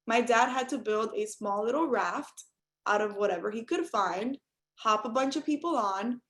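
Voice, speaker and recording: Earnest Cuban-born woman, higher voice. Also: normal speed, fairly bright tone. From Cuba. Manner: earnest, direct, addresses listeners as you all. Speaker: motivational speaker. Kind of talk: motivational talk on a group call. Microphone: call audio.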